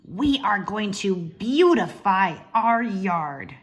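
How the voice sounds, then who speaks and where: A woman voicing a haughty, bossy older sister from a storybook, speaking in a pompous, deliberate, emphatic way. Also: medium pitch, lively intonation. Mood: haughty, commanding.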